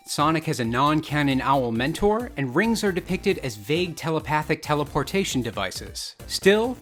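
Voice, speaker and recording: Man narrating over music, slightly high voice. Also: a bit bright. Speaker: narrator of a film essay video. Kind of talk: scripted narration, video essay. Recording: music bed under the voice. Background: background music.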